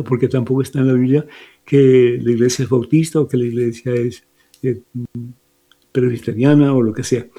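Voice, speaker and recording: A man with a dark, mellow and slightly husky voice speaks as a priest on a radio program. He explains doctrine with emphasis, often pausing to ask his listeners if they follow. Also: mid-range voice. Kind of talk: radio Q&A teaching.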